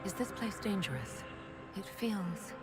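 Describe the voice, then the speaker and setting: A woman's voice: medium pitch, lively intonation, measured pace, over loud music, close to an everyday voice. A woman playing a resolute, inquisitive elven leader in a fantasy game, with a plain, direct, commanding manner. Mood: wary, curious.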